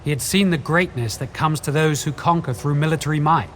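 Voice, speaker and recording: An actor playing a scholarly, wary quest guide in a fantasy video game, speaking in a measured, formal, articulate way. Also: male voice, on the high side, fairly bright tone, slight background noise, natural voice. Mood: measured, explanatory. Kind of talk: video game dialogue, explanatory. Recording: faint music behind.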